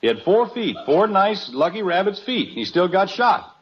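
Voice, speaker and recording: A man's voice: high voice, big pitch swings, moderate pace, slightly rough, heavily acted. An actor playing a stubborn, exasperated family father in an old-time radio comedy, blustering and argumentative. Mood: exasperated, sarcastic. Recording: dull old radio recording.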